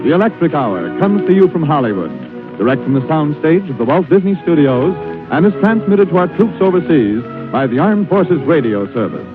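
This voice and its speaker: A man's voice: fairly high pitch, sing-song, moderate pace, pauses often. A man doing scripted network announcing in 1940s radio style. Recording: tinny 1940s radio broadcast with faint music.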